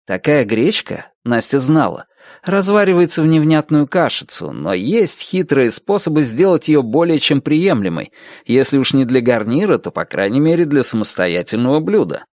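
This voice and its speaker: Man, audiobook narrator, detective novel, lively rising and falling pitch, dry close mic. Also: moderate pace, no echo. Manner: lively, expressive reading with varied pitch.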